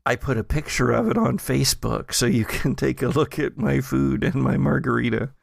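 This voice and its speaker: A man's voice: medium pitch, steady tone, normal speed, gravelly, no room sound. An American man hosting casual banter among friends with dry, joking understatement. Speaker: podcast host.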